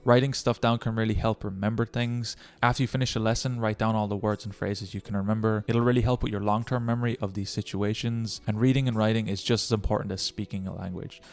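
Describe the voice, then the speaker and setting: A man's voice: fairly low pitch, fairly even intonation, fast, hardly any pauses. A young man giving encouraging language-learning tips in a casual vlog, talking straight to the viewer. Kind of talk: casual tutorial vlog talk.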